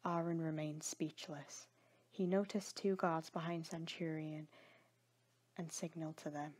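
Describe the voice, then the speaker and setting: Breathy, low-voiced woman narrating dramatic fiction. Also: little pitch movement, measured pace, darkish tone. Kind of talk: scripted dramatic story narration.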